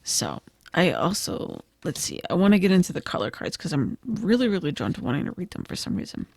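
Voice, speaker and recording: A woman losing her voice gives a gentle, reflective tarot reading, with frequent "I feel like" and "kind of". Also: lowish voice, very animated, fairly quick, breathy edge, dry recording, clean studio sound. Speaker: tarot reader. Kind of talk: pick-a-card tarot reading.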